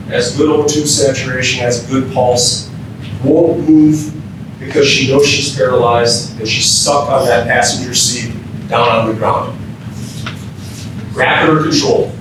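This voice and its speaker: An American man giving an informal training lecture as a keynote speaker, often quizzing his audience with questions. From Minnesota, United States. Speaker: emergency physician, keynote speaker. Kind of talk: interactive training lecture to firefighters. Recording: very echoey room, distant mic, rumbling noise.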